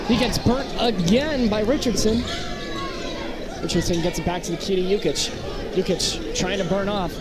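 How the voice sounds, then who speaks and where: High-pitched man calling basketball over loud crowd. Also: normal speed. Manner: clipped, fragmentary calls. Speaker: play-by-play sports commentator. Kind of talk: live basketball play-by-play commentary. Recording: very noisy gym, loud crowd din. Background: basketball bounces and crowd noise.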